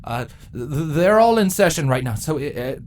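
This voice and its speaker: A man's voice: a little high, sing-song, moderate pace, voice pushed up. A man voicing a nervous, meek changeling drone who stutters, as a character in a storybook reading. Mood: flustered.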